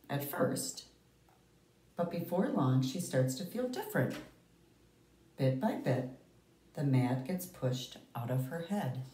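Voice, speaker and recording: A low-pitched woman reads a children's picture book aloud in a very expressive, swooping way. She leans on key words and pauses for effect. The recording is roomy, with the microphone set well back from her. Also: slight background noise. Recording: roomy, echoey, distant microphone.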